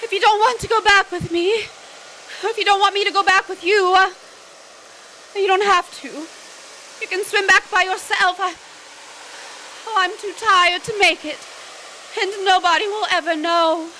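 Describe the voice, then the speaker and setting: A woman plays an intense, anxious lover in an old-fashioned stage melodrama. Her voice is high and bright, with earnest, halting, dramatic delivery. There is faint noise on the live recording. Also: steady tone, heavily acted, low-quality recording. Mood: breathless, desperate.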